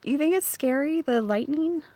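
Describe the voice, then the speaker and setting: A woman from Minnesota casually chatting with viewers on a live stream from her phone outdoors, her voice slightly raspy and congested from allergies, often dropping to a hush. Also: sits high, expressive, normal speed, dry recording. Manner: soft-spoken, often hushed, laughing to herself. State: congested from allergies after a chest cold. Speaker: livestream host. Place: outdoors during a thunderstorm. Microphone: phone held outdoors.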